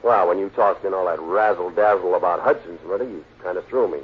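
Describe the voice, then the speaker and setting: An American actor playing a blunt, needling, self-satisfied police lieutenant in a 1940s radio drama. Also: male voice, low voice, a little fast, lightly acted. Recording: tinny, noisy 1940s radio recording.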